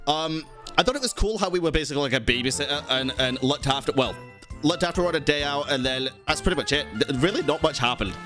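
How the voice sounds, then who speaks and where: Male streamer host, somewhat higher-pitched, chatty and emphatic, casual swearing, faint music behind. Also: expressive, fast. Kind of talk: casual commentary to a live chat.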